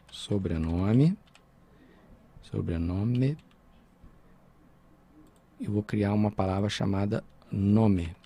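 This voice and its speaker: A man's voice: fairly low pitch, mellow, slightly rough. A man with a Brazilian Portuguese accent teaching a programming lesson over a screen recording, talking informally and checking in with his students.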